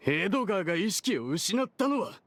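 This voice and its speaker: High-voiced, brash, shifty merchant. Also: male voice, sing-song, a little fast, a bit bright, no echo, exaggerated voice. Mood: indignant. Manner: rough, argumentative casual speech. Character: brash, shifty adult male perfume merchant and schemer. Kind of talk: Japanese anime game dubbing.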